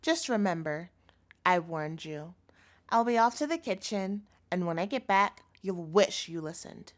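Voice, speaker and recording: A woman's voice: lowish voice, animated, measured pace. A woman playing an obsessive chef girlfriend in a scripted audio roleplay, sugary and coaxing with a threatening edge, speaking intimately to the listener. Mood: cold, menacing. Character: obsessive yandere chef girlfriend, sweet but menacing.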